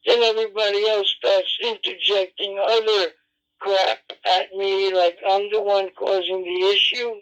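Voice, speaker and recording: A man with a notably high voice speaks at a slow, deliberate pace. He is combative and repetitive, swearing as he argues. The audio is a dull, lo-fi radio transmission. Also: little pitch movement, a bit bright. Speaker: amateur radio operator. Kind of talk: radio argument. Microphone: radio transceiver microphone.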